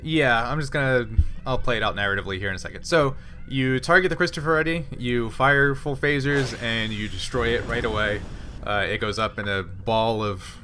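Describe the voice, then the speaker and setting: Bright-voiced man running a tabletop game. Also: mid-range voice, brisk, a little hiss or hum. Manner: informal, conversational. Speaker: game master. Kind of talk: improvised narration and rulings in a tabletop sci-fi game.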